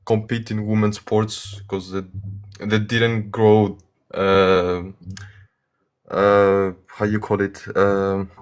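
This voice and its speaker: Hesitant young man, low flat voice. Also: clean tone. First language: Greek. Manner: halting, hesitant, many uhs, apologetic about his English. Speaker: caller. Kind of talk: casual call-in debate.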